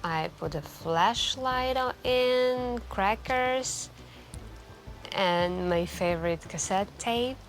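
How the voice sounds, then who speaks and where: A woman playing a wistful, soft-spoken café stranger who confides intimately and hesitantly in an ASMR roleplay. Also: medium pitch, soft background music. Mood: nostalgic, musing. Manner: intimate, hesitant, musing, with gentle pauses.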